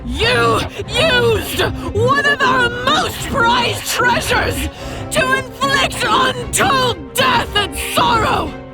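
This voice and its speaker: An actress playing a cunning, vengeful dragon mage disguised as a centurion, speaking in a theatrical, formally articulate way in a fantasy audio drama. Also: female voice, very high-pitched, extremely slow, over loud music, heavily acted, rough recording. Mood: outraged.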